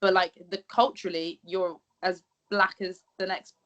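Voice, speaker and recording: Animated woman, podcast co-host. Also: lowish voice, normal speed, highs cut off. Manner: animated, laughs easily, checks in with "do you get what I mean". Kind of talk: casual conversational interview.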